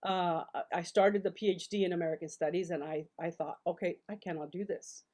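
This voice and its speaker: A woman in her sixties from El Paso, talking on a video call from her home study, telling stories in a lively way with many restarts and fillers. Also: on the low side, fairly quick. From El Paso, Texas. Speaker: guest, novelist and scholar. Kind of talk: casual storytelling interview. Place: home study with full bookshelves.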